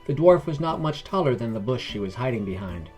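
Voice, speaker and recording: A man's voice: medium pitch, big pitch swings, fairly quick, darkish tone. Guy narrating a fantasy tale, medium voice, some music in the back.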